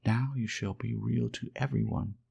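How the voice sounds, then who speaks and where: Guy doing a sweet lady fairy for a bedtime story, pitched way up, tender n soothing. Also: normal speed, vocal fry, a little mellow, husky. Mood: tender, reassuring. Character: gentle, kindly nursery magic fairy, lovely female. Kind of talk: storybook character voice.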